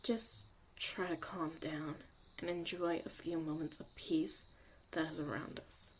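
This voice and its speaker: A woman acting a gruff, guarded but secretly caring young mage mentor in a zombie apocalypse ASMR roleplay, soft-spoken and often near a whisper. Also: low-pitched, fairly even intonation, measured pace, slight background noise. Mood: calm, soothing.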